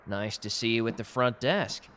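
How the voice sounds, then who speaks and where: A man's voice: medium pitch, moderate pace, noisy background, lightly acted. A man playing a cocky, gallant and protective customer who flirts teasingly in a romantic roleplay audio drama. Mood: teasing, friendly. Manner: suave, teasing, intimate one-sided conversation.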